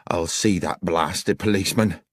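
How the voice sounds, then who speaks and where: A man's voice: low voice, normal speed, husky, dry recording. A man voicing an agitated, protective elderly village landlord in an audiobook mystery, urgent and indignant. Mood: alarmed, indignant.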